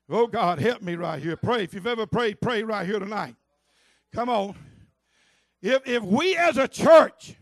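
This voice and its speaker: A man with a Southern accent preaching at a church convention, rising from quiet talk to shouted preaching and calling out "come on" and "help me" to the congregation. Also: very high voice, no echo, studio quality. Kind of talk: Pentecostal revival sermon.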